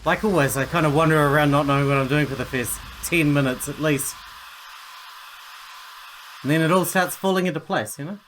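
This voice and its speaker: A man's voice: a little high, a little fast, long pauses, a bit bright, slight background noise, poor audio. A man giving casual, conversational let's play commentary as he plays a video game. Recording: faint game music behind.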